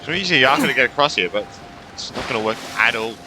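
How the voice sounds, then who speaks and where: A man's voice: mid-range voice, expressive, very fast, music in the background. A man giving casual playthrough commentary as a gamer, informal and playful, reacting aloud. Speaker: gamer, video host.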